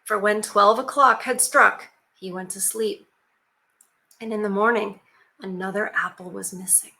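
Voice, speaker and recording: A woman reads a fairy tale aloud for her channel, with a bright, sharp tone. She talks in a chatty way, dropping in casual asides and fillers between steady passages of narration. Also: medium pitch, a little slow, gravelly. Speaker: YouTube storyteller.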